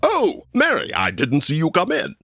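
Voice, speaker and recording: Swooping, ghoulish radio horror host. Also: male voice, on the high side, wildly swooping pitch, normal speed, bright, forceful tone, dry recording, clean studio sound, heavily acted. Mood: teasing. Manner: theatrical, teasing, relishing the gruesome. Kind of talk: old-time radio horror host parody. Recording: music under the voice.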